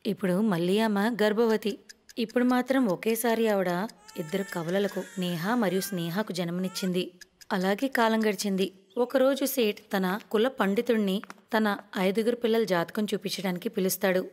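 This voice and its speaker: A woman's voice: medium pitch, moderate pace, a little mellow. Woman narrating a story in long reverb. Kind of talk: scripted narration of a cartoon moral story.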